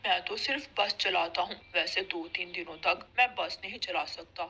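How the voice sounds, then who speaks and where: A woman voicing an easygoing, helpful male pigeon bus driver in a cartoon story, calm and matter-of-fact. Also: on the low side, normal speed, husky, voice pushed down, full character voice. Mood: obliging.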